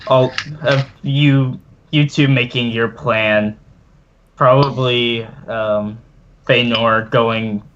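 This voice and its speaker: Man, game master, mid-range voice, very unhurried pace, casual narration, dull lo-fi sound. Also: mid-range voice, a bit bright, a little hiss or hum.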